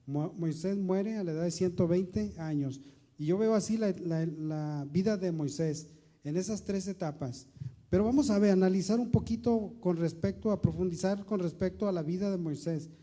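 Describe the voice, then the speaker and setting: High-voiced, dark-toned male pastor preaching. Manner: preaching with slow, emphatic stretches and rising emphasis; repeats words while searching. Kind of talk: sermon.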